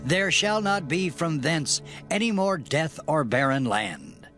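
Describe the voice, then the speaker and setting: High-voiced, fervent biblical prophet. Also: male voice, sing-song, a bit bright, soft background music, heavily acted. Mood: solemn authority. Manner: declamatory, fervent, deliberate archaic delivery. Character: Elisha, devout solemn male prophet. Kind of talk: dramatized scripture audio acting.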